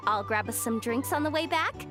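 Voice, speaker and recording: A woman's voice: on the high side, lively intonation, normal speed, natural voice. A woman voicing a small, sassy, self-important but friendly merchant girl in a video game. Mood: helpful, breezy. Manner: self-important, playful, theatrical. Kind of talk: video game character acting. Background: game music.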